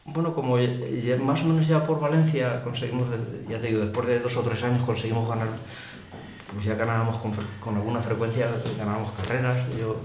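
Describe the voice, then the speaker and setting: A man's voice: mid-range voice, flat delivery, fairly quick. An older man with an accent from Spain, a former racer, chattily telling anecdotes in colloquial, exclamatory speech as an interview guest. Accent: Spanish from Spain. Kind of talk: casual anecdotal storytelling. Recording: roomy echo with clear background noise.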